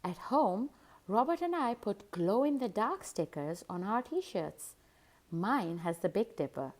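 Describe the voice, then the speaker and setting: A woman narrating a children's picture book as host, in an expressive, deliberate storytelling manner. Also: medium pitch. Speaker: host and read-aloud narrator.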